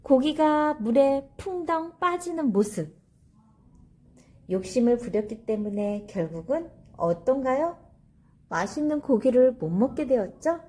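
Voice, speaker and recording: A woman's voice: high-pitched, a little slow, darkish tone. A woman teacher reading stories to children and explaining them in an instructive way, addressing her young listeners directly. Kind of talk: children's story reading with commentary.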